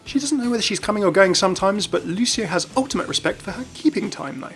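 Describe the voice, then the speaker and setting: Casting: male gaming video narrator, enthusiastic scripted read, brisk, on the higher side. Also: expressive, a bit bright, soft background music.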